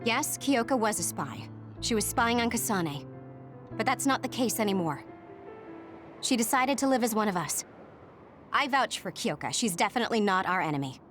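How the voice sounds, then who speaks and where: An actress playing a protective, assured squad member in an English game dub, speaking firmly and directly. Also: female voice, a little high, lively intonation, normal speed, music in the background, lightly acted.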